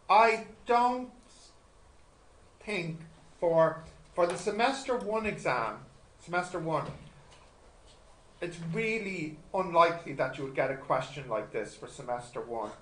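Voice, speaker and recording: A man's voice: fairly high pitch, expressive, slow, pauses often, fairly bright tone. A man tutoring maths informally, thinking aloud as he works sums, self-deprecating and often asking checking questions. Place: indoor tutorial room with students. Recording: echoey room with noticeable noise.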